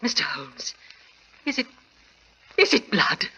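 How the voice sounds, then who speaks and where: A woman's voice: lowish voice, sing-song, slow pace, sharp tone, slightly rough, full character voice. An actress playing an anxious, imperious young lady of the house in dramatic 1940s radio style. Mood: alarmed. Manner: dramatic, breathlessly emotional.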